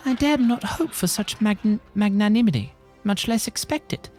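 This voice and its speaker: A man's voice: high voice, expressive, normal speed, a little mellow, faint music behind, pitched above the performer's own voice. A man voicing a gracious, courteous refugee noblewoman from a fantasy game, with formal, archaic phrasing. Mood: humble, earnest. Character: refined, gracious, courteous woman leading refugees.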